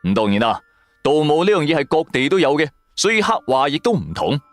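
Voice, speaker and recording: A man with a Cantonese accent telling a scripted first-person story in a colloquial, expressive style. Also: on the high side, fast, dry recording. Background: music.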